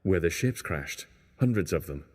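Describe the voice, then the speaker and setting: A man's voice: sits low, expressive, normal speed, a little mellow, slightly rough. A man playing a weary, pessimistic stranded engineer in an audio drama, talking in a grave way. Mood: resigned. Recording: long hollow reverb.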